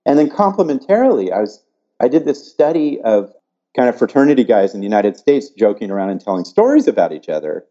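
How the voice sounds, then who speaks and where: An older man who grew up in California, a psychology professor answering interview questions in an animated, thoughtful way, often restarting his sentences. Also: medium pitch, fast talker, lo-fi sound.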